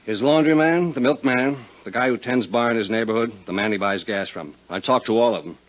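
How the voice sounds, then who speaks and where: A man's voice: medium pitch, a little fast, close to an everyday voice. A man with an American accent acting as a breezy, folksy, slangy private detective in a 1950s radio drama. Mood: matter-of-fact. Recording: muffled vintage radio recording.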